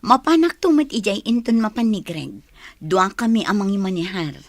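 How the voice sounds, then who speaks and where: A woman playing a willful grown daughter in an Ilocano Filipino radio drama, her voice slightly husky. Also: medium pitch, expressive, normal speed, dry recording. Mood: eager.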